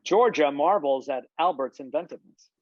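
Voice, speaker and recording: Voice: mid-range voice, moderate pace, darkish tone, no room sound. Muffled, dry narrator reading stage directions. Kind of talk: scripted narration at a table read. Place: online video-call table read. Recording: muffled, dull video-call audio.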